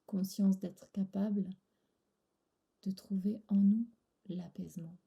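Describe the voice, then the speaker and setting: A woman guiding a relaxation meditation in a soft, breathy, soothing voice, pausing between short phrases. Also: mid-range voice, steady tone. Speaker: yoga nidra instructor.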